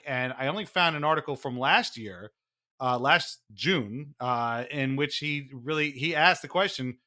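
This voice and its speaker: A man co-hosting a podcast, explaining things in casual sports talk with animated, wry asides. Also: fairly high pitch. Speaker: podcast co-host.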